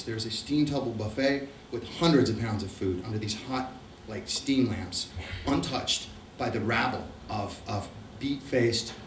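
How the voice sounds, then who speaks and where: A man's voice: lowish voice, a little hiss or hum. A middle-aged man with an Argentine accent, telling a profane, wry travel story to a live audience. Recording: roomy, distant mic.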